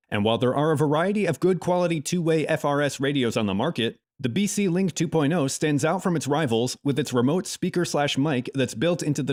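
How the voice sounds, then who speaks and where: A man's voice: mid-range voice, darkish tone, no echo. Close, dry male review narrator. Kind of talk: scripted narration. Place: close, dry voice-over recording.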